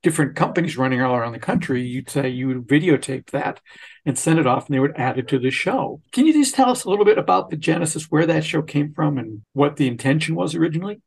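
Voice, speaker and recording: A man's voice: fairly high pitch, animated, fast, creaky, a little mellow, husky. A man hosting a conversational interview. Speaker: podcast host and interviewer.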